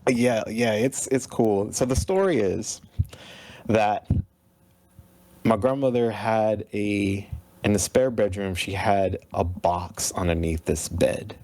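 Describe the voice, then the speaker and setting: A man's voice: fairly low pitch, takes it slowly. A man in his thirties, a guest on a podcast, telling stories animatedly and laughing often. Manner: animated storyteller, laughs often, acts out the people he quotes. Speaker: guest, video game composer. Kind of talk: podcast interview.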